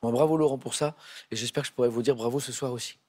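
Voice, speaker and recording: Husky, slightly hoarse male quiz host in a TV studio, chatty and teasing, full of fillers. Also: mid-range voice, steady tone, very fast, a little mellow. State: voice a bit hoarse. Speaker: game show host. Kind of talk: friendly candidate introductions. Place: television studio.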